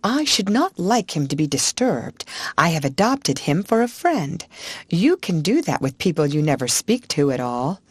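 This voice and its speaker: A woman's voice: low voice, sing-song, normal speed, slightly rough, dry recording, exaggerated voice. A woman voicing a proud, bold young schoolgirl who speaks grandly and politely. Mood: fond.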